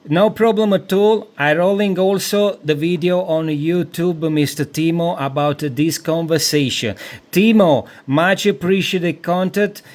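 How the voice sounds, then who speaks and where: Male radio operator, Italian accent, slightly high-pitched, repetitive phrasing, contact chat in call signs. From Italy. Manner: repeats phrases for emphasis.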